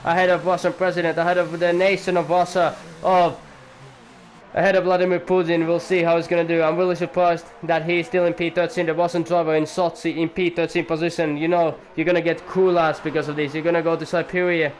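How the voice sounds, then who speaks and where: Young male league commentator, rambly and jokey, slightly high-pitched. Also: little pitch movement, a little fast, a little hiss or hum. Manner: rambling, chatty, jokey asides to viewers. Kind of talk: live sim-racing commentary.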